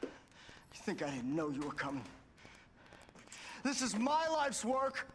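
Cornered scientist, defiant, paranoid, very high for a man, faint noise, game drama. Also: big pitch swings, unhurried, a bit bright, heavily acted. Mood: defiant, agitated. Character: defiant, paranoid male scientist guarding his work. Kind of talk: video game cutscene acting. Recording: faintly noisy bodycam footage sound.

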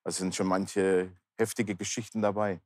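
Deep-voiced man in his fifties. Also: level tone, normal speed, a little mellow, no room sound, clean studio sound. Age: 50s. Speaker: talk show guest, pastor. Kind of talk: personal testimony in an interview. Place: large indoor bar hall, seated interview.

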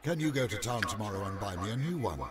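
Actor as low-voiced man of a spooky house. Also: animated, fast, slight background noise, close to an everyday voice. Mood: matter-of-fact. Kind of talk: scripted game dialogue.

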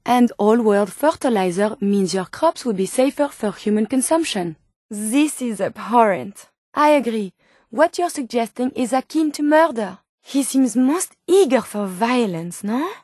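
An actress playing a polite, earnest, curious robot scientist with a French accent in a video game, speaking formally and precisely. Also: female voice, fairly high pitch, lively intonation, a little slow, a little mellow, no echo, clean studio sound. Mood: matter-of-fact, then polite indignation. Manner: formal, precise, with questioning tags. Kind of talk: video game character dialogue.

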